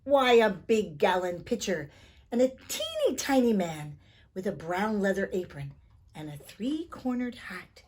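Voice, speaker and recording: A woman reads a children's story with theatrical expression. Her pitch swoops widely, she takes her time, and her voice is somewhat husky. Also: very animated, a little slow. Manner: theatrical, with hushed asides to the listener.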